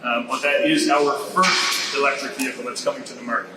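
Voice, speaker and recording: A man's voice: medium pitch, fairly even intonation, a little fast. Earnest man explaining, echoey and distant. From Canada. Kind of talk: casual live chat. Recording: echoey room, far from mic, loud background noise.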